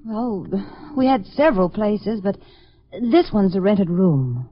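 A woman's voice: medium pitch, very animated, takes it slowly. An actress playing a nervous, evasive young woman in a 1950s radio drama, hesitant and protesting. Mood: reluctant. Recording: muffled, hissy old radio recording.

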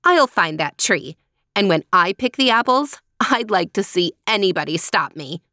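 A woman voicing a greedy, bad-tempered spoiled girl with a rude, sharp, demanding manner in a storybook tale. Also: medium pitch, expressive, normal speed, dry recording, studio quality. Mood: headstrong.

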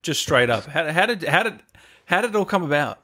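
A man's voice: fairly high pitch, sing-song, brisk, sharp tone, breathy edge. A man with an Australian accent hosting an interview, reading out viewer comments and restarting his questions. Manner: stumbling restarts, reassuring. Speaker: interview host.

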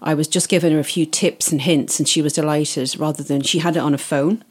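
Woman, Irish accent, middle-aged, low voice, steady detailed talk. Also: brisk, breathy edge. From Isle of Man. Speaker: guest, wedding PA. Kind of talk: casual interview.

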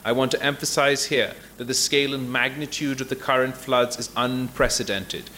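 A man from Pakistan, a government minister, making a formal public statement with halting delivery. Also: mid-range voice, fairly even intonation, bright, forceful tone.

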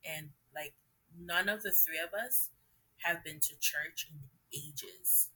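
A young adult woman from Trinidad and Jamaica, a podcast guest who tells her story in a relaxed, reflective way with frequent fillers and laughs. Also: sits low, slow, slightly rough, low-quality recording. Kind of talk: conversational interview storytelling.

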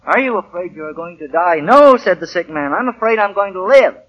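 A man's voice: high-pitched, expressive, fairly quick, muffled recording. A male actor playing an earnest, self-important husband in a 1940s American radio comedy, stammering and fussy, turning declamatory when he makes a speech. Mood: nervous. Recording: vintage 1940 radio broadcast recording.

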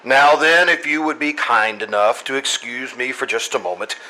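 A man playing a pompous, exasperated high school principal in an old-time radio comedy, speaking in elaborately formal, sarcastic sentences. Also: normal speed, sharp tone, lightly acted, low-quality recording. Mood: icy restraint. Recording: live stage recording, background noise.

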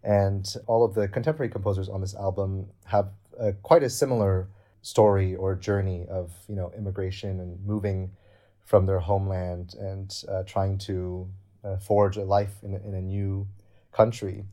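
A deep-voiced young man talks through his project as an interview guest. His delivery is earnest and explanatory, worked out aloud. The tone stays mellow and dark, with little rise and fall. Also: flat delivery, normal speed. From Canada. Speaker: guest cellist. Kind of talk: interview answers.

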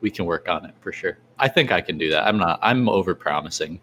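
A man's voice: low voice, expressive, brisk, pushed and bright, a little hiss or hum. A man co-hosting a podcast in casual chat, teasing his partner and laughing often. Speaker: podcast co-host.

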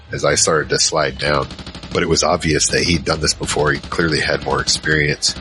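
An actor playing a huge, shaken survivor recounting a monster battle in a halting first-person audio drama narration. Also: male voice, pushed and bright. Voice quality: very low, heavy voice. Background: music.

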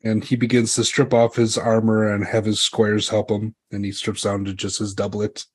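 Husky male game master. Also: lowish voice, monotone, moderate pace. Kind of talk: improvised tabletop game narration, casual.

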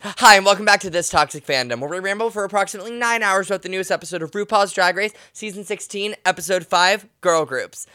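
A man hosting a podcast, chatting casually and teasing his co-hosts with quick playful asides. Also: high voice, pushed and bright, clear, resonant tone, no echo, studio quality. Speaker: podcast host.

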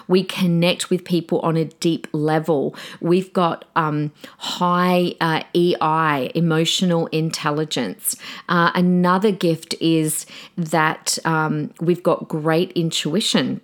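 A middle-aged woman hosting a podcast in a chatty, excitable way, often calling her listeners guys, her voice slightly croaky. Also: lowish voice. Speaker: podcast host; preacher. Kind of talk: casual podcast monologue.

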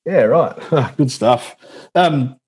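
A man's voice: mid-range voice, very slow, a little mellow, husky. A young man interviewing a painter in a casual, easygoing way, often laughing and saying "yeah, right".

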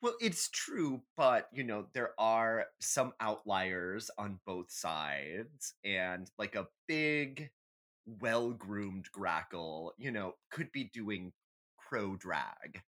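A man's voice: on the low side, very animated, very slow, fairly bright tone. A man co-hosting a podcast in casual banter, dryly humorous and playfully dramatic in how he tells things. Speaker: podcast co-host.

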